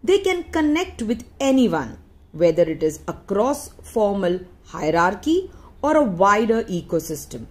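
A woman reading a newspaper article aloud in deliberate phrases with frequent pauses. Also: mid-range voice, darkish tone. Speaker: audiobook reader.

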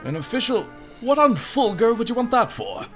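Exasperated male harbor captain, voiced by a man, grumpy, bureaucratic, swinging pitch, game character. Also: very animated, normal speed, a bit bright, soft background music, heavily acted. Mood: irritated.

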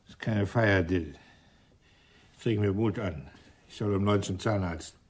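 Grumbling low-voiced police inspector. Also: male voice, a little slow, breathy edge. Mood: gruff, agitated. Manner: grumbling, blustering, self-deprecating. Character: grumpy, gloomy police inspector tormented by toothache. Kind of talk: German radio crime drama acting.

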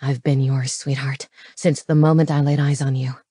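Woman voicing a low, possessive male lover. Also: little pitch movement, normal speed, warm tone, no echo, studio quality. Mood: possessive, tender. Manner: low, breathy, growling delivery. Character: possessive, tender male lover in paranormal romance. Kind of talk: romance audiobook character voice.

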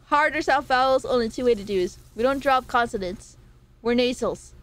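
A woman's voice: a little high, moderate pace, fairly bright tone, poor audio. Playful nasal young woman streamer. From California. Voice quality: self-described nasal. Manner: playful, swears, talks to chat. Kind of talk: casual chat with viewers.

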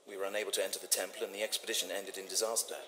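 Man playing a low-voiced, uneasy gentleman. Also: level tone, very fast, background noise, natural voice. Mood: recollecting. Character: well-bred, uneasy Victorian gentleman club member. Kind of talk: video game dialogue acting.

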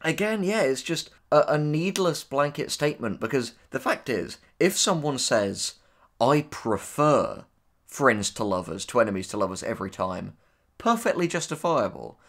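A man co-hosting a casual commentary discussion, speaking in a measured, articulate and opinionated way. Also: mid-range voice, big pitch swings. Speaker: co-host, audio drama writer.

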